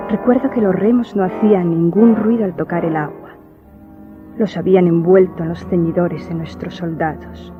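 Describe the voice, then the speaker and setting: An actress playing a melancholy young Greek noblewoman in a radio drama, recounting memories in a solemn, formal, measured way. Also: on the low side, natural voice. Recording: muffled audio with music behind.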